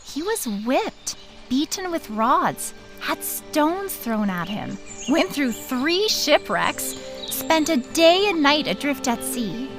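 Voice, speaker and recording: A woman's voice: sits high, takes it slowly, over music, exaggerated voice. A woman playing an upbeat, kindly park ranger who tells stories and explains in a children's audio drama. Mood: animated. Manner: animated, explanatory.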